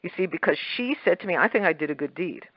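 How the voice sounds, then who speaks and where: A woman's voice: fairly low pitch, lively intonation, fast, breathy edge, no echo, muffled recording. A middle-aged or older woman hosting a radio interview in a chatty, rambling, emphatic conversational way. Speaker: radio talk show host. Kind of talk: conversational interview with personal anecdotes.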